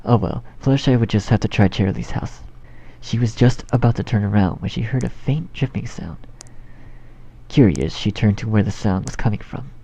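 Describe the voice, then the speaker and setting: A man's voice: lowish voice, moderate pace, gravelly, dark tone, breathy edge. A man narrating a scripted fan fiction story as its storyteller. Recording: dull home recording with faint noise.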